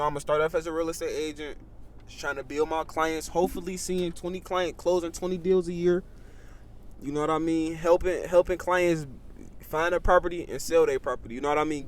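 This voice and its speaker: Brief: young man, 18, high-pitched, animated, casual podcast guest. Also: brisk, a little hiss or hum. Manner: animated, repeats "you know what I mean" and "bro". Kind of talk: casual chat.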